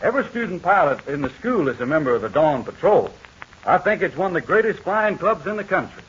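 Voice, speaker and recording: A man's voice: a little high, very animated, brisk, fairly bright tone. Earnest young man on old radio. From North Carolina. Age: young adult. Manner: polite, earnest. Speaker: guest student pilot. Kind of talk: scripted radio interview. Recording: dull old radio transcription with faint hiss.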